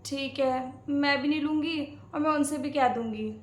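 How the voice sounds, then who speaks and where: Woman acting a high-voiced young daughter-in-law. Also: normal speed, lightly acted. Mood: explaining, agreeable. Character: young daughter-in-law, placating, sweetly cheeky. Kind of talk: domestic comedy skit acting. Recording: echoey room.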